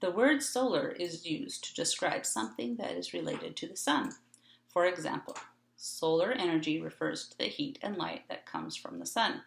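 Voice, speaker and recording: A woman reading an educational read-along script aloud in a clearly phrased, instructional manner. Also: lowish voice, roomy sound.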